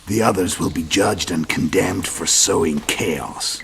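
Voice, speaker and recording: Game villain: cold, taunting assassin, low gravelly voice with animated swings, music behind. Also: male voice, measured pace, noisy background. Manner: taunting, assured. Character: menacing, cold male kingslayer assassin. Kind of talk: video game villain acting.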